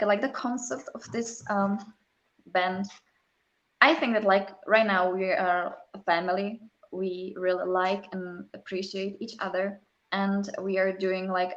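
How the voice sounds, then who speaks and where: A young woman, a metal band singer, talking casually in a live chat interview, with many fillers and halting non-native phrasing. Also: fairly low pitch, highs cut off.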